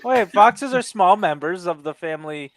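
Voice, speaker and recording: A man's voice: sits high, lively intonation, moderate pace. A man co-hosting a casual podcast, arguing his point stubbornly with swearing and laughs. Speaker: podcast co-host. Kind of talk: casual chat.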